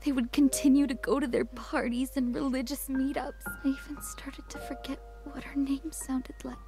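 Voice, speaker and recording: Soft, hesitant, troubled teenage girl. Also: high voice, flat delivery, moderate pace. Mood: grieving. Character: troubled, apologetic teenage girl haunted by grief. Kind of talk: visual novel voice acting. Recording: music behind the voice.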